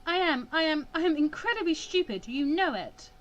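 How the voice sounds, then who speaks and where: Woman voicing an impassioned high-pitched young student girl. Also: animated, moderate pace, muffled recording. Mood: tearful, self-blaming. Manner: stammering, impassioned. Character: earnest, emotional young female student mediator.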